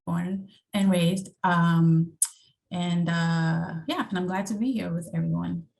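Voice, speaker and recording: Woman from the bronx, gravelly lowish voice, calm intro. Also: slow. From Bronx, New York. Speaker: family therapist. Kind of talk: casual self-introduction.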